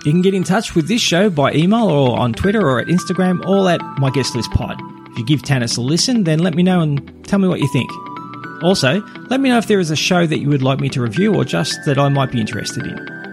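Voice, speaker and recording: A man with a husky, gravelly voice hosting a podcast, reading a scripted review. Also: fairly high pitch, animated, quick pace. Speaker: podcast review show host. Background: faint music.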